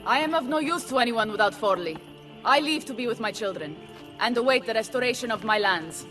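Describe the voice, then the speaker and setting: A woman's voice: fairly high pitch, lively intonation, a little fast, fairly bright tone, rough recording. An actress playing a proud, solemn noblewoman in a video game. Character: proud Italian noblewoman, solemn deposed ruler. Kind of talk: dramatic video game acting. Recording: clear music bed behind.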